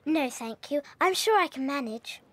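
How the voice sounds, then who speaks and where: An actress playing a bright, bookish little British schoolgirl in a dramatised audiobook, precise and well-mannered. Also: high voice, lively intonation, normal speed. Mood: polite, earnest.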